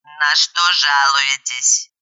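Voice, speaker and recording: A woman's voice: low voice, flat delivery, unhurried, bright, forceful tone, muffled recording, heavily acted. A woman voicing a bored, disgusted emergency dispatcher in an audiobook, curt and squeamish. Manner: squeamish, curt, indifferent drawl.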